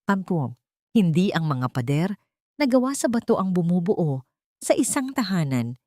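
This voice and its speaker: A woman's voice: fairly low pitch, very animated, moderate pace, mellow, no room sound, studio quality. A woman with a Filipino accent narrating a dramatic audiobook story from a script.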